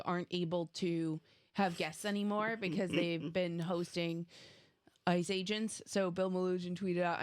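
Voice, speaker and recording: A woman's voice: lowish voice, dry recording. A woman co-hosting a podcast, chatting casually and relaying news items. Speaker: podcast co-host. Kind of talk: casual chat and reading news aloud.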